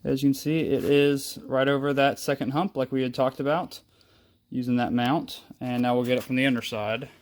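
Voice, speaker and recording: A man's voice: mid-range voice, level tone, moderate pace. Casual man explaining a DIY job. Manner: explanatory, step by step. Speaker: host of a DIY vehicle video. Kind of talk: casual how-to narration while demonstrating.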